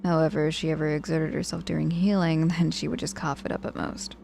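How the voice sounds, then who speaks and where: A woman's voice: low voice, little pitch movement, fast talker, creaky, darkish tone, slight background noise. A woman playing a sardonic but caring young witch in an intimate ASMR audio roleplay, speaking casually and teasingly with feigned reluctance. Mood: wry, chatty.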